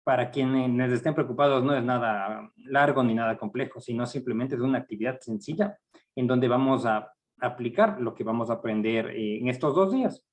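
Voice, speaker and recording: Middle-aged ecuadorian guy running a uni workshop, steady mid voice, lots of ums. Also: medium pitch. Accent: Ecuadorian Spanish. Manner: measured, hesitant with frequent fillers. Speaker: university research director giving a workshop. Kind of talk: academic training seminar.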